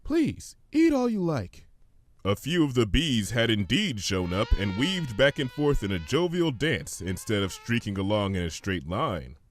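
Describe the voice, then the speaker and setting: A man reading a story aloud as scripted, expressive narration. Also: on the low side, moderate pace, few pauses. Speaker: fan fiction reader.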